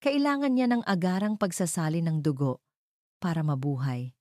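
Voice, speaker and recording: A woman voicing a serious, measured male doctor giving urgent news in an audio drama. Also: fairly low pitch, darkish tone, no room sound, studio quality, natural voice. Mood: grave, urgent.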